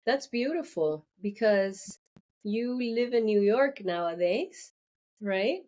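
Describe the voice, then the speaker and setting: A woman's voice: mid-range voice, animated, a little mellow. A woman hosting an interview, encouraging her guest with frequent affirmations. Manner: slow, encouraging, many affirmations. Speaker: interview host.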